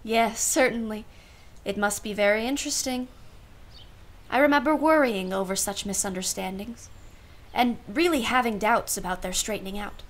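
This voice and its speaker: A woman's voice: medium pitch, moderate pace, lightly acted. A woman playing a wry, world-weary aunt in a radio play, with a dry, arch manner and formal phrasing. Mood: politely ironic. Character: wry, world-weary aunt minding her young niece. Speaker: actress.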